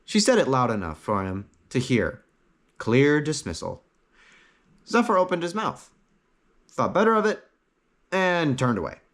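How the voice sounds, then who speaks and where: A man narrates a scripted fantasy tale in a middle-pitched voice. His intonation swings widely as he reads dramatically, phrase by phrase. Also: sing-song, a little slow, pauses often. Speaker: story narrator.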